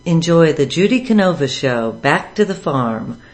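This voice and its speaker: A woman's voice: low-pitched, animated, a little slow. A woman host reading a scripted introduction for a radio program.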